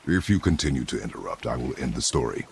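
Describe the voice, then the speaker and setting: An actor playing a stern, terse warrior father in a video game, curt, formal and deadpan, with a gravelly voice. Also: rumbling low, quick pace, a little hiss or hum, heavily acted. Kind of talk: dramatic video game acting.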